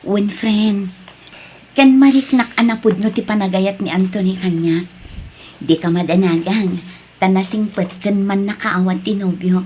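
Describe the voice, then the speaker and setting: A woman's voice: mid-range voice, fairly even intonation, unhurried, a little mellow, a little hiss or hum, lightly acted. A woman playing a composed, hurt mother working abroad in an Ilocano radio drama. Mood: earnest, resolved. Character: Maria, heartbroken but composed mother working abroad, betrayed by her husband.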